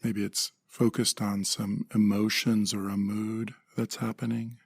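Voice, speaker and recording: A man leading a guided meditation in a calm, gentle manner, in short measured phrases with pauses. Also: lowish voice, vocal fry, husky, no echo.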